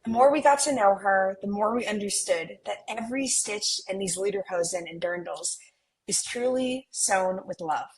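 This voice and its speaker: An actress playing a determined, hopeful millennial twin sister in a romcom script read. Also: female voice, medium pitch, normal speed, close to an everyday voice, low-quality recording. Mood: earnest.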